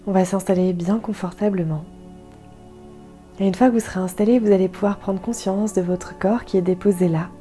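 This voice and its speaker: A woman guiding a yoga nidra meditation in a soft, calm, soothing way, leaving gentle pauses. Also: medium pitch, faint music behind. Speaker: guided meditation instructor.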